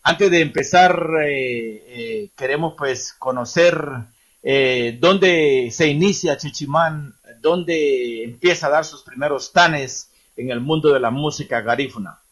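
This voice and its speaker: A man's voice: on the high side, slow pace, fairly bright tone. A man hosting an online language class and interviewing a guest, formal and respectful, with frequent hesitations.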